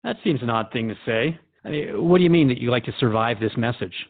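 A radio host interviewer in an audio drama. He is earnest and respectful, feeling his way through questions with a conversational hesitancy. His mid-pitched voice sits in a dry, lo-fi recording with muted highs. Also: expressive, quick pace, dry recording. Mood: puzzled, probing.

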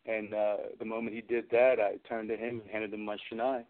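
A man's voice: lowish voice, flat delivery, fairly quick. Man telling stories over a phone-like line. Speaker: guest, film special effects and prop maker. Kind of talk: casual anecdotal interview chat. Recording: phone-like narrow-band radio call-in audio.

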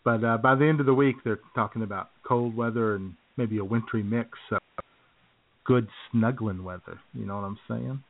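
A man's voice: mid-range voice, moderate pace, gravelly. A man hosting a radio show in casual, conversational chat. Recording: narrow tinny phone-like sound.

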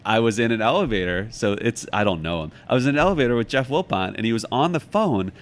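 An American man from Chicago talking casually as an interview guest, halting and thoughtful as he tells anecdotes and gives advice. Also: mid-range voice, animated. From Chicago, United States. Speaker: author and podcast guest.

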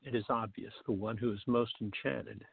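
A man with a gravelly, creaky voice reading scripted narration for a comic radio play, on a tinny, narrow recording. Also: lowish voice, steady tone, moderate pace, noisy background. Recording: tinny, narrow phone-like sound.

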